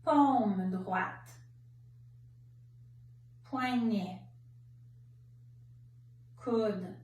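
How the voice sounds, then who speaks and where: A woman leading a guided relaxation meditation as a yoga nidra instructor, speaking in calm, measured short phrases with pauses. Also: mid-range voice. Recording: roomy, distant mic, faint background noise.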